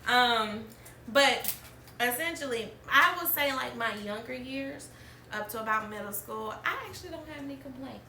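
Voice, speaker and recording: Woman in her thirties, bright and chatty, recorded in a roomy space off-mic. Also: a little high, expressive, moderate pace, distant mic, a little hiss or hum. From Alabama, US. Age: 30s. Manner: chatty, laughs often, trailing restarts. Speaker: podcast host. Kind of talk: candid storytelling chat.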